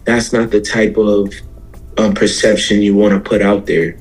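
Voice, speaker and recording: An American man from Houston, Texas, giving casual, reflective interview answers as a musician guest, explaining himself in a halting way. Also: lowish voice, little pitch movement, poor audio. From Houston, Texas, USA.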